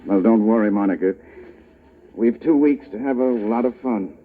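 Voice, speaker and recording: A man's voice: on the low side, moderate pace, lightly acted. An actor playing a genial, bumbling wealthy businessman in a 1940s radio drama, chuckling and hesitant, with a jovial manner. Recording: tinny lo-fi old radio broadcast.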